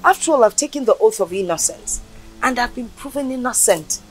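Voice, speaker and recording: An actress with a Nigerian accent playing a calm, forgiving wife who gently pleads. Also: female voice, on the high side, brisk, soft background music, lightly acted, poor audio. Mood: calm pleading.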